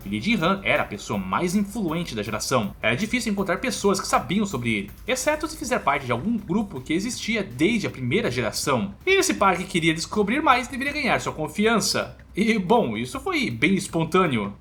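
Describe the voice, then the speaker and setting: A man's voice: on the high side, a bit bright, slight background noise. A Brazilian man narrating a scripted story recap in an informal, colloquial storytelling way.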